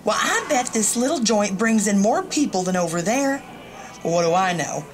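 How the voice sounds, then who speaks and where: A woman's voice: mid-range voice, lively intonation, moderate pace, lightly acted. A middle-aged woman playing a sassy, gossipy small-town diner waitress in an audio drama. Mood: chatty. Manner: folksy, gossipy, wisecracking.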